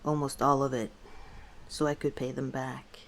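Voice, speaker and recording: A woman playing a guarded, gently wry orc tavern owner in an intimate ASMR roleplay, speaking reflectively with hesitant pauses. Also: extremely low pitch, flat delivery, creaky. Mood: weary, hurt. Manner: unhurried, reflective, hesitant pauses, soft chuckles.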